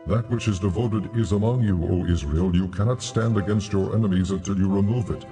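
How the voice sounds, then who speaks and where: A stern, commanding God for a scripture audio drama. The voice is low and dark, solemn and deliberate, full of authority. It sits at some distance with music underneath. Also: male voice. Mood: stern, condemning. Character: God, stern commanding deity rebuking his people.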